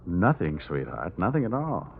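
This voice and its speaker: An actor playing a cocky, wry, hardboiled investigator in the style of a 1940s radio detective drama. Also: male voice, deep voice, huge pitch swings, moderate pace, vocal fry, very noisy, exaggerated voice. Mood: sly, cajoling. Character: wisecracking, hardboiled male insurance investigator narrating his case. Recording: tinny old radio broadcast, music behind.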